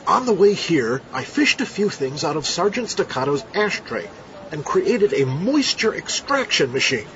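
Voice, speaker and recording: A man playing a scheming, commanding scientist hero undercover in an audio comedy, brisk and mock-serious. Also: fairly high pitch, sing-song, a bit bright. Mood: briskly commanding. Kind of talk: audio comedy drama acting. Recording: muffled audio with faint background noise.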